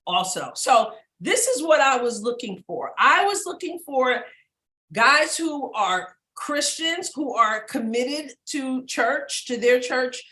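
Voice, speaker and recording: A woman's voice: sits high, animated, slow pace, bright, forceful tone, reverberant, low-quality recording. A woman from New York hosting a talk show, upbeat and direct as she leads a conversation with guests. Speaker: host.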